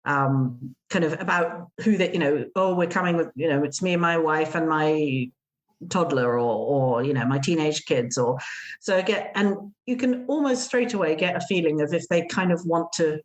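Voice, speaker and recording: Brief: middle-aged Englishwoman, English accent, lower-pitched voice, chatty guest on a casual podcast. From England. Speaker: gîte owner, guest.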